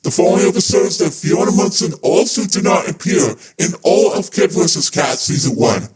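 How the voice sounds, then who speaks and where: A man with a fairly high voice presents a fan podcast. He delivers scripted narration as the host. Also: expressive, normal speed, darkish tone, soft background music.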